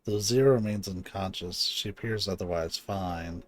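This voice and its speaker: A man's voice: sits low, moderate pace, no room sound. A man streaming a video game with informal, sweary live commentary, sometimes reading the dialogue aloud. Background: game music.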